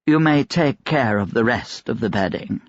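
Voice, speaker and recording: A man voicing a cold, educated gentleman lord in an audiobook, quiet and steady, calmly threatening. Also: mid-range voice, no room sound, highs cut off, lightly acted. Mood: calm, curt. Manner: calm, collected, deliberate threats. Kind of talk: audiobook character dialogue.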